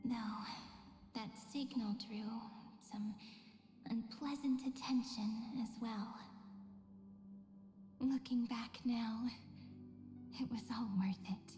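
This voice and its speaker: A gentle young game heroine looking back wistfully. Her voice is high and breathy, with a very slow, measured delivery. It rings in long reverb over clear music. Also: fairly even intonation. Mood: reflective. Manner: slow, tender, measured storytelling. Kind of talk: scripted game story voice acting. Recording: echoey long reverb, loud music behind.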